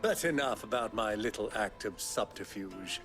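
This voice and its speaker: A man's voice: on the low side, animated, moderate pace, fairly bright tone, faint music behind. An actor voicing a crafty older British nobleman in a video game, with pompous, florid, sly diction. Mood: smug, amused.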